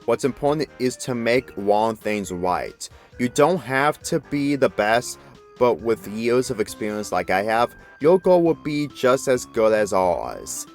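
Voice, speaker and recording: A man's voice: mid-range voice, sing-song, unhurried, soft background music. A man voicing a brash, confident young female pegasus pony in a story reading, assertive and boastful. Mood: encouraging, confident.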